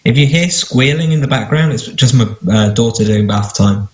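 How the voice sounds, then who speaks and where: Bantering English man, mid-pitched. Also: moderate pace, darkish tone. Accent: British, English. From United Kingdom. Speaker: podcast guest. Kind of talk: casual chat.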